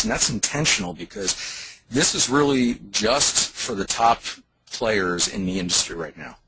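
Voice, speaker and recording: A man's voice: lowish voice, a bit bright. A man speaking as a company founder, giving an earnest, explanatory sales presentation full of business jargon on a conference call. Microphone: telephone conference call. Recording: noisy conference call line with clicks.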